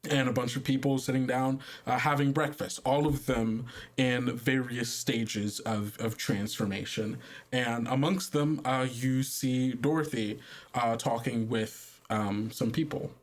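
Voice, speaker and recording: A man's voice: medium pitch, fairly even intonation, unhurried. A man acting as game master, improvising narration in a conversational way full of filler words.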